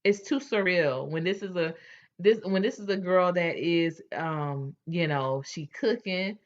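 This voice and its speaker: A woman's voice: on the low side, unhurried, muffled recording. A woman hosting a casual chat, candid and chatty, often restarting her sentences. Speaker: host.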